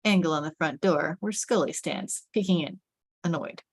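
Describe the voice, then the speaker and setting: A woman narrates a screenplay at a table read in a slightly low voice. The sound is dry and close, and she phrases the directions with suspense and emphasis. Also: moderate pace, husky, no room sound. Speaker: narrator reading stage directions.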